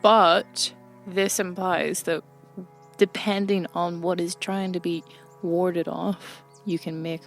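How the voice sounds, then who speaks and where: A woman's voice: fairly low pitch, steady tone, takes it slowly, vocal fry, soft background music. A woman speaking as a player in a tabletop role-playing game, chatting and reasoning casually. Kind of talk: casual improv game chat.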